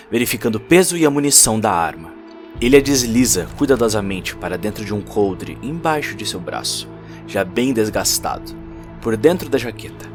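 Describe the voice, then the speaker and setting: A low male voice narrates a cyberpunk tale in Brazilian Portuguese. The reading is scripted and dramatic, with music playing faintly behind it.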